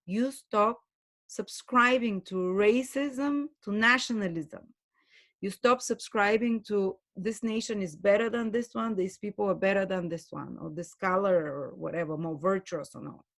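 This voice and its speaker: A woman giving an earnest spoken lecture as a spiritual teacher, pausing and restarting her phrases often. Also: mid-range voice. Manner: earnest, with frequent restarts and hesitations.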